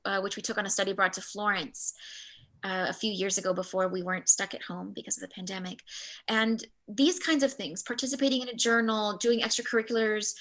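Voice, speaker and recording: A woman's voice: medium pitch, a bit bright. A middle-aged woman whose first language is Spanish gives a chatty workshop talk as art history faculty, with frequent restarts. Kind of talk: workshop presentation.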